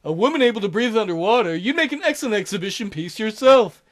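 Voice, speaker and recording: A man voicing a smug male villain who mocks his captives in a smooth, gloating way. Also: sits high, animated, fast.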